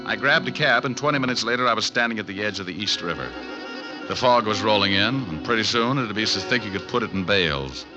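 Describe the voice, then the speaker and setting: A man's voice: fairly low pitch, fast talker, music in the background, lightly acted. A man playing a hard-boiled, wisecracking private eye. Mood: narrating. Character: tough wisecracking private detective. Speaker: narrator. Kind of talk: 1940s radio acting. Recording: dull lo-fi old radio recording.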